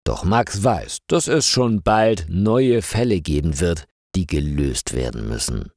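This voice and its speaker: A man with a very low voice reads a story slowly and clearly for learners. His pitch moves a lot, rising and dropping to shape each sentence. The sound is close and dry, with no room around it. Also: expressive, darkish tone, dry recording. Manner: slow, clearly articulated reading with falling sentence ends. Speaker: narrator of German learning stories. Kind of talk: slow scripted story narration for learners.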